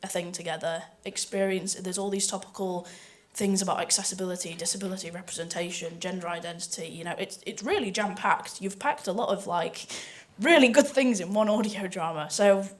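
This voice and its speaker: A woman's voice: mid-range voice, a little fast. A woman speaking casually as a panellist in a live discussion. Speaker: festival panellist.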